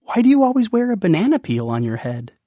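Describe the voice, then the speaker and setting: Man playing a friendly, high-pitched curious boy. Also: sing-song, fast talker, dark tone, no echo, muffled recording. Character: friendly, curious young boy, unbothered by being different.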